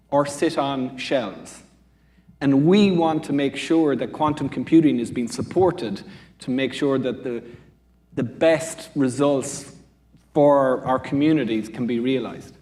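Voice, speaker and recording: A man's voice: medium pitch, darkish tone. A man with an Irish accent answering as a panel speaker from a business school, discursive and often rephrasing mid-sentence.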